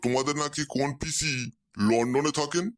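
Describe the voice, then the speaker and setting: A man's voice: a little high, steady tone, fairly quick, close to an everyday voice. A man voicing a genial, inquisitive older father-in-law figure in a comic cartoon, easily impressed and repeating his approval.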